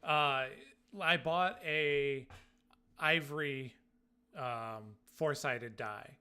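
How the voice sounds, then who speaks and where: Male gaming podcast co-host, casual, slow-paced talk with a bright, forward tone. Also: mid-range voice, clean tone. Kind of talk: casual chat about video games.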